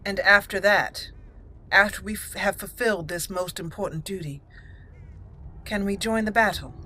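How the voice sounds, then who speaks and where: A woman hosting a roleplay let's play, answering in character and commenting with deliberate, theatrical phrasing. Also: mid-range voice, sharp tone, poor audio. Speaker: gaming channel host.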